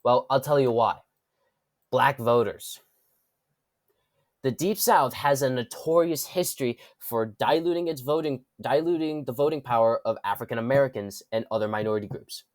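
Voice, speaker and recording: A man's voice: mid-range voice, normal speed, darkish tone, dry recording. A man with a congested, stuffy voice giving casual political commentary, rambling, correcting himself and dropping wry asides. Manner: rambling, with frequent restarts and self-corrections. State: congested from a cold.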